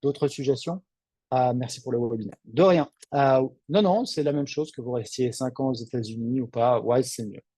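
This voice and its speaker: Male, webinar adviser fielding questions off the cuff; relaxed, slightly dark tone, dry close sound. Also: mid-range voice, normal speed, slightly rough, no room sound. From Lille, northern France. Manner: off-the-cuff, conversational, frank. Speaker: financial adviser hosting a webinar. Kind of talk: informal question-and-answer session, reading out and answering questions.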